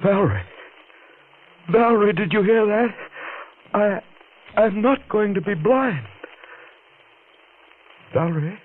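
An actor playing a frightened, needy husband, injured and in pain, halting and pleading in a 1940s radio drama. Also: male voice, high voice, lively intonation, full character voice. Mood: excited, hopeful. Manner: halting, repeats words, pleading. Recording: scratchy, narrow-band 1940s radio recording.

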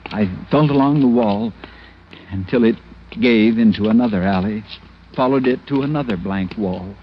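A man's voice: fairly low pitch, normal speed, slight background noise, close to an everyday voice. A middle-aged actor playing a timid, fussy American tourist in a 1940s radio drama, hesitant and flustered as he recounts his misadventure. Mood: anxious recounting. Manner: hesitant, stammering, prim and flustered. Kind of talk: 1940s radio drama acting, first-person narration. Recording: muffled vintage radio recording with music.

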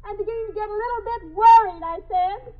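Very high-voiced, fretful young woman. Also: a little fast. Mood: worried. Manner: fretful, plaintive, insistent. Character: anxious, impatient young woman stuck, near tears. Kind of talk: 1950s radio drama acting. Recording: dull 1950s radio broadcast recording.

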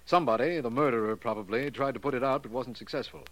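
A man's voice: mid-range voice, fast talker, lightly acted. A man acting an earnest, formal district attorney in a 1940s radio mystery. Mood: businesslike. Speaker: radio actor. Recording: faintly noisy vintage 1940s radio recording.

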